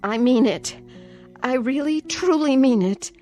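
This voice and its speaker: An actress playing an anxious, doting aunt in a radio drama, sweetly coaxing and nervously repeating herself. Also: female voice, high-pitched, animated, unhurried, a little mellow, soft background music. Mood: pleading. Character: anxious, doting aunt placating a feared nephew.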